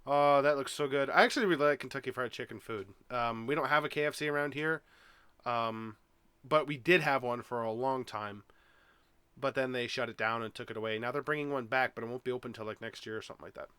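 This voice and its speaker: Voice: medium pitch, fast, bright, forceful tone, clear, resonant tone, no echo. A let's-play host who sounds stuffed up from a cold, chatting casually through a game on a headset mic at home. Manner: chatty, reads on-screen text aloud with asides. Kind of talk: casual gameplay commentary. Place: home gaming setup.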